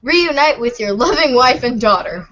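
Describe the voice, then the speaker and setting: A woman reading brisk commands aloud as the prompt narrator of a web comic readers' theater. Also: on the high side, lively intonation, fairly bright tone. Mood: commanding.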